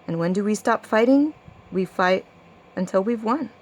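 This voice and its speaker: Woman, mid-pitched voice, author reading own verse, rhyming storybook recitation, rhythmic, theatrical. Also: expressive, normal speed.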